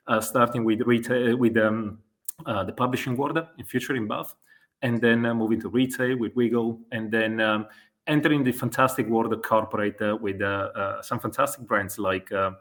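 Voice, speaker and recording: Italian-accented man, halting and hesitant. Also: medium pitch, a bit bright. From Italy. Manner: hesitant, many fillers and self-corrections. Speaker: guest, e-commerce consultant. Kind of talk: casual interview answers.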